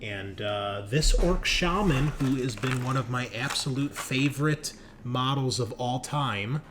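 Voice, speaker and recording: A man's voice: mid-range voice, measured pace. A man hosting in a casual, enthusiastic way, chatting in slang with plenty of swearing. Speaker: host and game master. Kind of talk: casual chat. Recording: noticeable background noise.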